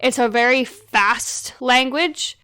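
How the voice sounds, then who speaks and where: A woman's voice: sits high, slow, pushed and bright, smooth, no room sound, clean studio sound. A woman co-hosting a casual film podcast, thinking aloud and trailing off as she works out her views. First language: not Portuguese. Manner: reflective, thinks aloud with restarts. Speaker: podcast co-host.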